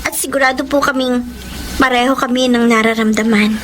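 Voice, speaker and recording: An actress as a high-voiced lovestruck young Filipina. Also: female voice, fairly even intonation, brisk, slight background noise, lightly acted. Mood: earnest. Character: lovestruck young daughter, sweet, earnest, eager. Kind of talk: Filipino radio romance drama acting and narration.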